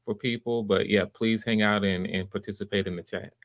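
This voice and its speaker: Male audition host, fairly low pitch, casual and encouraging, talking conversationally on a livestream. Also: steady tone, fairly quick. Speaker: host and casting director of live auditions. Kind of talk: casual livestream feedback and hosting. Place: live video call stream.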